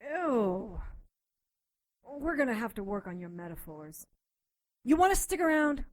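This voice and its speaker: An actress playing a tough, sardonic occultist doctor in an audio drama, commanding and quick to threaten. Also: female voice, on the high side, big pitch swings, no room sound.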